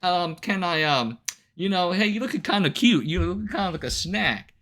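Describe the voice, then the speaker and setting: A man chatting casually on a livestream, ranting to viewers in an incredulous, animated way with casual swearing. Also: sits high, normal speed. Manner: laughs often between remarks. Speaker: live streamer.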